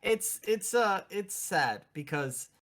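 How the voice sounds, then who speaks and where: A man co-hosting a casual chat, excitable and given to repeating his phrases for emphasis. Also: sits high, takes it slowly. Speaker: podcast co-host. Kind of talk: casual chat about video game movies.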